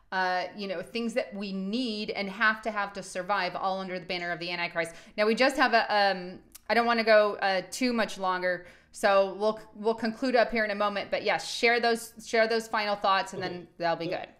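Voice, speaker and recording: Woman host, bright clear voice, upbeat and agreeable, on a video call from home. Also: mid-range voice, normal speed. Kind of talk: casual video-call interview. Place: small room at home, indoors.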